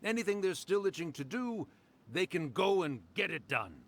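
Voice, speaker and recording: A man playing a mischievous, boastful fox-spirit yokai in a video game, with a theatrical, teasing, drawn-out delivery. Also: sits high, big pitch swings, fairly bright tone, a little hiss or hum. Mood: jaunty boasting. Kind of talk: video game character acting.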